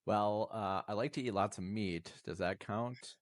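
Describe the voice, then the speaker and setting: A man in his fifties talks in a low, steady voice, earnest and reflective as he gives his views. The recording is dry and close, with little room sound. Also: unhurried, dry recording. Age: 50s. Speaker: podcast guest. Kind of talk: casual interview talk.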